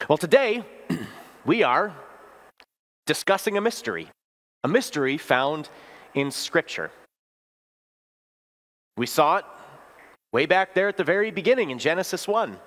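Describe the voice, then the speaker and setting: A man's voice: fairly high pitch, big pitch swings, measured pace, long pauses, fairly bright tone. Man preaching in a reverberant church. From Wisconsin, US. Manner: conversational storytelling, asks the congregation questions. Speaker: pastor. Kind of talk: sermon. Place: church sanctuary at the altar.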